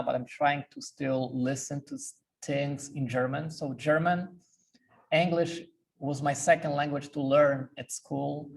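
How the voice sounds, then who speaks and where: A man from Poland with a Polish accent, a priest speaking as a guest and answering questions in a hesitant, halting way with many restarts. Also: mid-range voice, creaky, poor audio. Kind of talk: casual talk with questions. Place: club meeting room.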